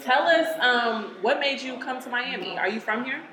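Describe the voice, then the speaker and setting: A woman co-hosting a podcast, asking her guest questions in a relaxed, teasing way. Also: on the high side, normal speed, crowd noise. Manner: teasing, relaxed, laughs along. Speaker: podcast co-host. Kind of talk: casual interview chat. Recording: echoey room.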